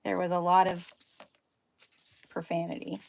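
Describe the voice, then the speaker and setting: A woman's voice: on the low side, completely flat delivery, a little slow, creaky, darkish tone, a little hiss or hum. Chatty woman with a Dutchy accent. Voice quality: chatty, self-deprecating, talks while working. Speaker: sewing livestream host. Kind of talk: casual sewing demo chat.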